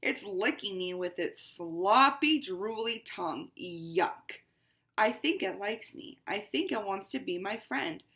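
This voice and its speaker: A woman's voice: mid-range voice, lively intonation, slow pace, bright, forceful tone, full character voice. A woman voicing a haughty, pampered house cat as she reads a picture book aloud, with a dramatic, exclaiming delivery. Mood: fussy. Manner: dramatic, exclaiming storybook reading. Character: haughty pampered house cat, female-ish narrator, fussy.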